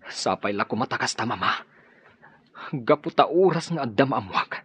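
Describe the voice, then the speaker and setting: A man's voice: medium pitch, lively intonation, moderate pace, a bit bright, slightly rough. A man with an Ilocano accent playing an intense, suspicious, grieving young son out for vengeance in a radio drama. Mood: suspicious, bitter. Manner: intense, emotional. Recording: dull, muffled radio drama audio.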